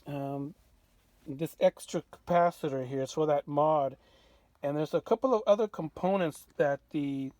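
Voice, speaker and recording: A man presenting a casual hands-on walkthrough as a radio restorer, informal and halting as he thinks aloud. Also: on the high side, darkish tone, no echo.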